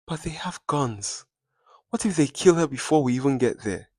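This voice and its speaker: A man's voice: mid-range voice, animated, normal speed, darkish tone, no room sound, studio quality, pitched above the performer's own voice, natural voice. A man voicing a distraught but determined mother, urgent and confrontational, in a dramatic story. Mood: fearful, trembling.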